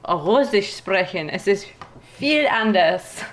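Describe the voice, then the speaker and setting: A woman with a foreign accent chatting casually in a language that is not her own, pausing to search for words. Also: medium pitch, animated, slight background noise. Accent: non-native, foreign-accented German. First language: not German; speaks it as a foreign language. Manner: halting, pauses to search for words. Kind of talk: casual language-practice chat.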